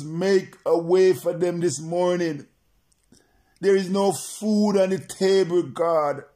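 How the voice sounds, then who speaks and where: A man's voice: on the high side, dark tone. A male preacher praying and preaching to remote listeners, repeating his phrases in an insistent, chant-like cadence.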